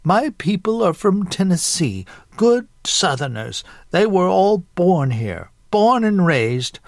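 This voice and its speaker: A man's voice: high voice, big pitch swings, slow, vocal fry, dry recording, clean studio sound, voice pushed up, exaggerated voice. A man voicing a prim, proud elderly great-aunt in a story, nosy and easily scandalized.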